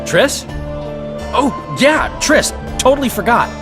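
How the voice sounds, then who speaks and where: Game sidekick: flighty charmer of a bard, high swooping voice, chatty, loud music behind. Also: male voice, very animated, takes it slowly, exaggerated voice. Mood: tipsy rambling. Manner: chatty, theatrical. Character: jovial, flighty male bard, theatrical charmer. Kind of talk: video game voice acting.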